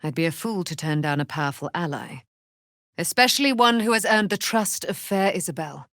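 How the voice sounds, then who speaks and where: A woman's voice: lowish voice, lively intonation, brisk, fairly bright tone, no room sound, clean studio sound. A woman playing a proud, vengeful and noble immortal warrior in a fantasy video game, declaiming in a grand, formal, archaic way. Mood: noble, gracious.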